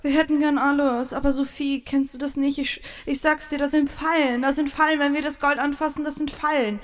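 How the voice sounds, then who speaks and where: A woman co-hosting a podcast, playful and a bit bossy, with short quick reactions. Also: high-pitched, little pitch movement. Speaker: podcast co-host. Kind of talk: casual chat with playful role-play. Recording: reverberant, slight hiss.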